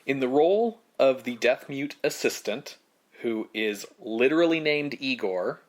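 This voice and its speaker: A man presents film history as a podcast co-host. His pitch sits in the middle range for a male voice. He explains things in measured, short phrases, reading prepared notes and slipping in casual asides. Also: animated.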